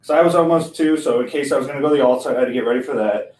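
A man, a guest pitcher, speaking upbeat and agreeable in a casual podcast chat. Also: rapid-fire, darkish tone. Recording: echoey room, mic at a distance.

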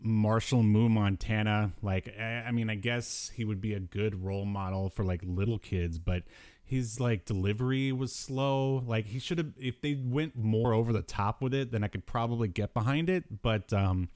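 A man hosting a podcast, chatting casually about retro cartoons in a hesitant, halting way. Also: on the low side, a little mellow, clean tone. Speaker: podcast host.

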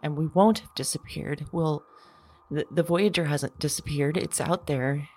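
Woman doing a husky, whispering scared woman, low, slow, repeats herself. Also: dark tone. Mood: hushed. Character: frightened woman on the edge of breaking. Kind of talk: audio drama monologue.

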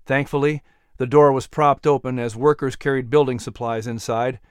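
A man's voice: mid-range voice, fairly even intonation, normal speed, clear, resonant tone, no room sound, studio quality. A man narrating a historical children's story in a dramatic, scripted audiobook style.

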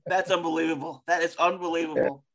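A man's voice: a little high, fairly even intonation, quick pace. A man co-hosting a podcast in casual chat, playful and teasing. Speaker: podcast co-host.

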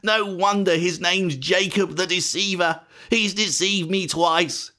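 A man's voice: high voice, fairly even intonation, unhurried, sharp tone, voice pushed up. A man voicing a wronged eldest son in a Bible story, anguished and pleading.

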